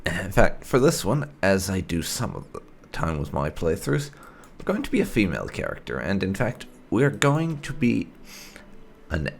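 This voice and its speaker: A man's voice: sits low, wildly swooping pitch, moderate pace, gravelly, slight background noise. A man hosting a gaming video with casual, explanatory playthrough commentary, wandering into emphatic asides.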